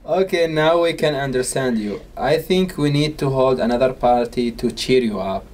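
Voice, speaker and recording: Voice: medium pitch, expressive, normal speed, darkish tone, lightly acted. Sociable puppet host read by an amateur: mid-range voice, careful learner-like delivery of scripted chat. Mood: mildly reproachful. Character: sociable party host among puppet friends. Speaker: amateur puppet show performer.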